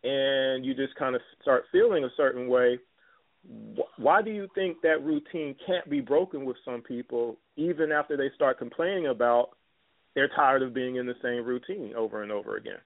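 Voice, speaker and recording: A man's voice: medium pitch, normal speed. A man hosting a talk radio show, speaking loosely and casually with many fillers. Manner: loose, filler-heavy, conversational. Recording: tinny phone-like line.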